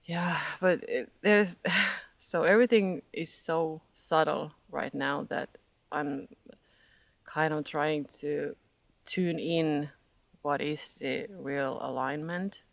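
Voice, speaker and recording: Slow gravelly voice with a Finnish accent. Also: mid-range voice, no echo. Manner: hesitant, searching for words, laughs softly often. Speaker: spiritual vlogger. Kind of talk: casual reflective monologue.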